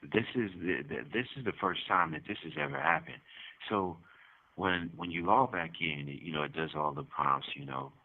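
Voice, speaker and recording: Man, very low voice, muffled lo-fi phone line, casual halting caller. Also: animated, vocal fry. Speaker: prison inmate calling in as guest.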